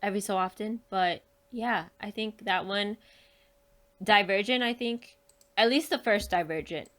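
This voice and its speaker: A woman's voice: medium pitch, unhurried, a bit bright. A woman chatting casually and informally as a podcast guest, often saying "like". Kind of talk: casual chat.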